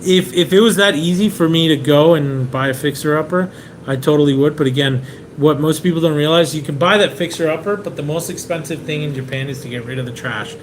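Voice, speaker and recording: A man's voice: fairly high pitch, a little fast. A man from Venezuela hosting a live question-and-answer stream, chatting casually and reading out viewer comments with plenty of "um"s. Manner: relaxed, chatty, many "um"s and restarts. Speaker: car importer hosting a live Q&A. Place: indoors in a rented apartment. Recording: clear room noise and AC hum.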